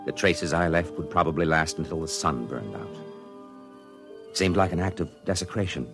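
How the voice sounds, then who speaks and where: An actor playing a thoughtful male astronaut who narrates his moon landing in a 1950s radio drama, earnest and halting. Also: low voice, over music. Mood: wistful. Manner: earnest, reflective, halting with small stammers. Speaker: narrator and crewman.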